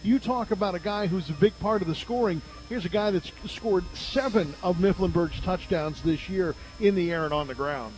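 Guy radio sportscaster, higher pitched voice, pregame football talk, emphatic, faint music under it. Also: moderate pace, hardly any pauses, a little mellow, rough recording. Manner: emphatic, reels off statistics. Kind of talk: pregame football commentary, conversational.

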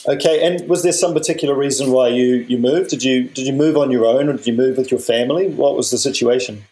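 A man's voice: mid-range voice, fast, mellow, poor audio. Enthusiastic male podcast host. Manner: enthusiastic, repeats words when asking questions. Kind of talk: hosting an interview podcast.

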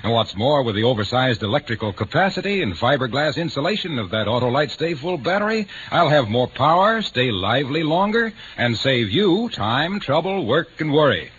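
An American man with a lively, swinging pitch tells a folksy sponsor story in a jaunty, theatrical way. The vintage radio recording is dull with faint noise. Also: normal speed, hardly any pauses. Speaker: radio commercial announcer.

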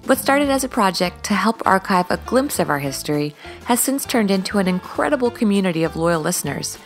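A woman's voice: medium pitch, lively intonation, a little fast, faint music behind. A woman hosting a podcast, reading a scripted introduction and then asking questions with enthusiasm. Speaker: podcast host.

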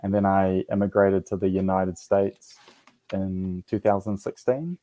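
A man's voice: deep voice, flat delivery, measured pace, dark tone, no room sound. A man with a New Zealand accent, a guest in his forties telling his story on a radio talk show, hesitant with many fillers and restarts. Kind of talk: casual interview.

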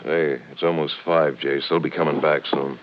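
A man's voice: rumbling low, little pitch movement, moderate pace. An actor playing a plainspoken Texas lawman with a folksy, inquisitive manner in a 1950s radio crime drama. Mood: watchful. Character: plainspoken male Texas county lawman, questioning sidekick. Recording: muffled, hissy 1950s radio recording.

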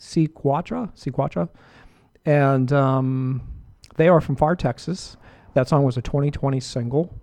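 A middle-aged man with a warm, mellow voice talks on the radio between songs. He rambles loosely with lots of fillers and false starts, at an easy, ordinary pitch. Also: unhurried. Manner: relaxed, rambling, full of fillers and restarts. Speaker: radio show host.

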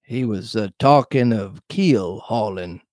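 A man voicing a slow-witted, meek sailor in a storybook, hesitant and subdued. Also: fairly low pitch, darkish tone, no room sound, studio quality, voice pushed down. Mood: meek, evasive. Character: Tom Morgan, slow, meek, dim male sailor.

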